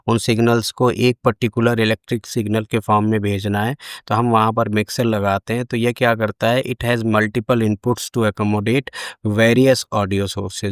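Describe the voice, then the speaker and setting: A man's voice: on the low side, clear, resonant tone, no room sound, clean studio sound. A man with an Indian accent giving an explanatory lecture as a teacher, switching between two languages and restating his points. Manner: repeats phrases in both languages. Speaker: electronics lecturer. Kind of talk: explanatory lecture mixing Hindi and English.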